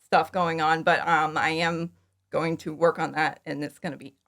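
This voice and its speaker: A woman's voice: sits low, level tone, normal speed, gravelly, fairly bright tone. A woman in her thirties chatting casually as an interview guest, enthusiastic, informal and giggly. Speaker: podcast guest, fan audio drama creator. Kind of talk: casual chat, interview answers.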